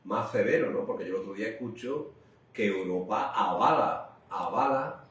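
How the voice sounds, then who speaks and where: A man in unscripted radio discussion, haltingly repeating phrases as he reasons. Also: on the low side, animated, fairly bright tone, far from the mic. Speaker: radio co-host discussing current affairs. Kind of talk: unscripted conversational political discussion. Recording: very echoey room, off-mic, faint noise.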